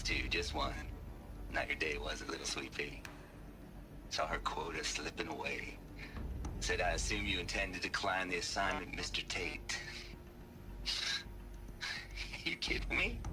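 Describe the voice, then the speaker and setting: An actor playing an irreverent, sardonic hacker in a game audio log, with a smug, teasing drawl. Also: male voice, on the low side, natural voice. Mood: sly, amused. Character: cocky, irreverent Southern hacker man, sly and sardonic.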